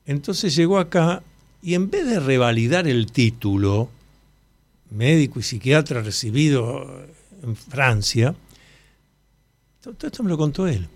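A man's voice: medium pitch, lively intonation, unhurried, long pauses, no room sound. A middle-aged man with an Argentine Spanish accent hosts an interview, commenting conversationally in an explanatory, emphatic way. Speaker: interview host.

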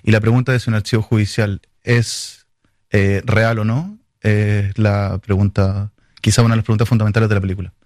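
A man's voice: fairly low pitch, level tone, a little fast, darkish tone, no room sound, studio quality. A man, a film director, answering interview questions in a hesitant way with many fillers and restarts.

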